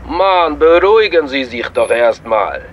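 Composed, patronising informant, secretive, crime radio drama, dull sound with faint noise. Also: male voice, on the high side, lively intonation, measured pace. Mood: cool, reassuring.

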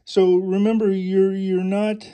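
A middle-aged man in a casual hands-on show-and-tell, halting with many fillers and restarts, recorded indoors by an overhead first-person camera. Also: high voice, level tone, mellow, low-quality recording. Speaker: collector showing an air pistol. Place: indoors at home, carpeted floor.

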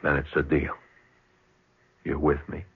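An American actor playing a bitter, intense war veteran bent on revenge in a seventies radio drama. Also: male voice, extremely low pitch, completely flat delivery, measured pace, vocal fry, breathy edge, exaggerated voice. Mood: cold, menacing. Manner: intense, goading. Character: bitter, vengeful male war veteran plotting murder. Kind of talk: 1970s radio drama acting. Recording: dull old radio broadcast.

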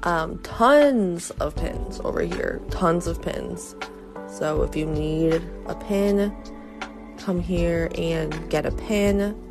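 Stuffy-nosed woman touring a shop slowly. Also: on the low side, gravelly. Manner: chatty, filler-heavy, upbeat and easygoing. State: stuffy nose. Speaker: small business vlogger. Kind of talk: casual shop tour narration. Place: small indoor cat cafe shop. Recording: voice over loud background music.

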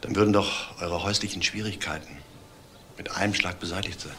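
Apologetic brother-in-law, tactful, low-pitched, crime radio drama, slight background noise. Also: male voice, steady tone, fairly quick, bright, forceful tone. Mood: earnest, reproachful. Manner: tactful, hesitant. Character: apologetic, well-meaning brother-in-law giving advice.

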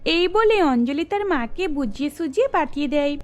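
A woman's voice: high voice, expressive, normal speed, faint music behind. A woman giving scripted narration for a cartoon story.

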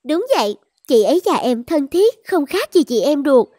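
A woman as a high-voiced young maiden. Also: very animated, moderate pace, mellow, no echo, clean studio sound. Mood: affectionate. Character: young well-born maiden in old-time fairy tale. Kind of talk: animated fairy tale dialogue.

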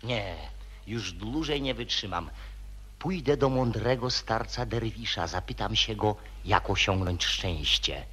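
A man's voice: on the low side, lively intonation, measured pace, pushed and bright, slightly rough, exaggerated voice. A man playing a desperate, gloomy young man in an old radio comedy, lamenting melodramatically. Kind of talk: 1940s radio acting.

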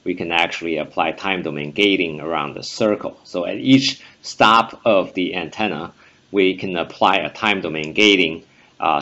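A man presenting a technical conference talk over slides, measured and full of "uh" fillers. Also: sits low, pushed and bright. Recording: dull lo-fi voice-over recording.

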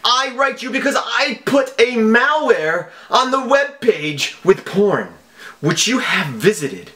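An evil villain hacker with a made-up foreign accent. His voice is high and swoops widely, and he delivers threats slowly and theatrically in broken English, with boastful menace. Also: very animated, bright, forceful tone, exaggerated voice. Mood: menacing. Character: evil villain hacker, menacing, over-dramatic male scammer.

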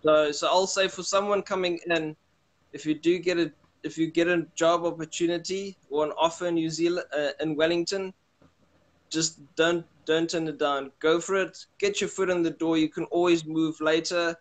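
A young man with a South African accent chatting relaxed on a video call, rambling with many restarts. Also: fairly high pitch, normal speed. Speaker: guest. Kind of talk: casual video call chat. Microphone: webcam on video call.

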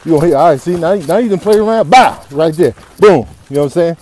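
A performer voicing a cocky male bull who talks excitable, repetitive street-ball trash in a comic animal voiceover. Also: medium pitch, fast, slight background noise, close to an everyday voice. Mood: indignant, flustered. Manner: excitable, repetitive slangy taunting.

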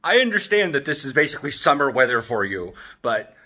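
Man in his 30s, bright voice, casual rambling vlog, jokey animated delivery, handheld phone in apartment. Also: mid-range voice, fairly quick. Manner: animated, jokey, acts out quotes. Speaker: vlogger. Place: open-plan apartment living room and kitchen. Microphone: phone at arm's length.

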